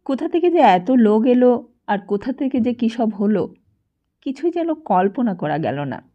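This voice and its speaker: Female audiobook narrator, unhurried and expressive literary read, slightly muffled lo-fi sound. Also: a little high. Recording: dull, lo-fi sound.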